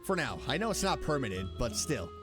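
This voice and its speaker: A woman doing casual, playful let's play commentary, reacting with drawn-out exclamations. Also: rumbling low, sing-song. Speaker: VTuber game streamer. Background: game music.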